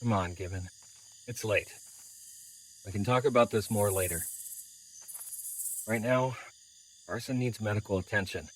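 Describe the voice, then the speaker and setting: A man's voice: fairly low pitch, unhurried, long pauses, slight background noise, natural voice, rough recording. A man playing a big, easygoing robot adventurer with a casual, protective manner in an audio drama. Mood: calming. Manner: casual, calm, conversational.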